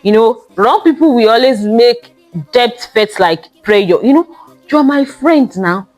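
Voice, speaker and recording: A young woman hosting a casual monologue podcast, talking in a loud, emphatic way and drawing out the words she stresses. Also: fairly high pitch, animated, measured pace, faint music behind. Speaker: podcast host.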